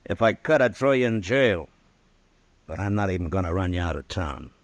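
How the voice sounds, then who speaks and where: An actor playing a stern, weary Old West marshal in a 1950s radio western, speaking tersely and with authority. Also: male voice, sits low, animated, normal speed, no echo. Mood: grim.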